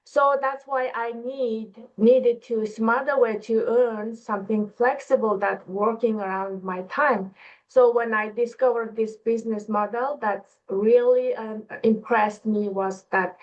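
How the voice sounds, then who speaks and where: A woman's voice: a little high, steady tone, unhurried. A woman with a non-native English accent giving a personal testimonial as a panelist, on a lo-fi online call. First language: not English. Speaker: talk show panelist, online business owner.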